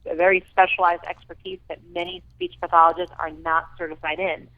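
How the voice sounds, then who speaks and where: A woman's voice: fairly low pitch, level tone, fast talker. Earnest woman on a tinny phone line. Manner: enthusiastic, earnest, many ums. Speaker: rehabilitation director, guest. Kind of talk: radio interview.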